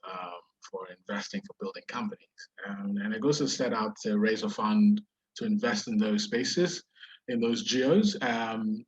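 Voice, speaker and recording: Nigerian guy w/ a bit of uk in his accent, low husky voice, kinda muffled lo-fi sound. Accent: Nigerian with British influence. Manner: hesitant, many fillers and restarts. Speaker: venture capital investor. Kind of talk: webinar interview. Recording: dull lo-fi sound.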